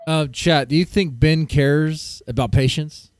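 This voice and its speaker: A man's voice: on the high side, measured pace, dry recording, clean studio sound. A man hosting a livestream, laid-back and chatty, greeting viewers in the chat. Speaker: livestream host.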